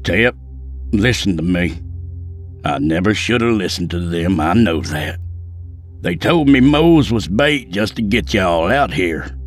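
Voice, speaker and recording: A man's voice: deep voice, expressive, unhurried, soft background music, pitched above the performer's own voice. A man voicing a guilt-stricken Southern townsman. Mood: remorseful. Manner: pleading, earnest. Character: remorseful middle-aged Southern townsman, guilty and pleading.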